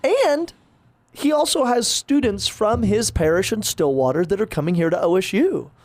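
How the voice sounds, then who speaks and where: A man's voice: sits high, lively intonation, normal speed, darkish tone, dry recording. A man, a parish priest chatting casually on a podcast, rambling with lots of fillers and restarts.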